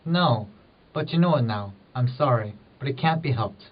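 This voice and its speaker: A man's voice: medium pitch, lively intonation, moderate pace, natural voice. A man playing a poor, conflicted farmer with rural old-fashioned phrasing in an amateur period drama. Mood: firm, frustrated. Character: poor, conflicted married farmer, secretly in love. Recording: muffled audio, distant microphone.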